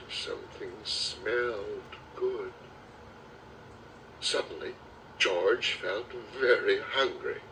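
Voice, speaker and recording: Male children's audiobook narrator, slow and lively, dramatic storytelling style, slightly distant cassette sound. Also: mid-range voice, a little hiss or hum. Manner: expressive, dramatic storytelling delivery. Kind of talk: children's storybook read-aloud.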